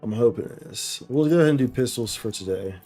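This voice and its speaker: A man livestreaming a game with a mellow, middle-pitched voice. He thinks aloud in slangy, looping phrases over faint music. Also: animated, fast. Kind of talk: casual live gameplay commentary.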